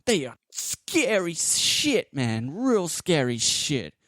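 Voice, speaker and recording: A man playing a young street kid scavenger in a tabletop game, halting, slangy and foul-mouthed. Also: on the high side, big pitch swings, fairly bright tone, no echo, studio quality, voice pushed up, heavily acted. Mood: spooked. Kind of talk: improvised tabletop roleplay acting.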